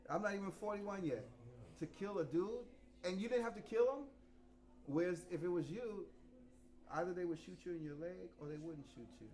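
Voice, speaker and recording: A man in his fifties from New York, talking frankly and profanely to students at a masterclass, sometimes quiet and sometimes loud. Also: high voice, normal speed. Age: 50s. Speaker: visiting drummer teaching a masterclass. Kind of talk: casual talk to students. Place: rehearsal room.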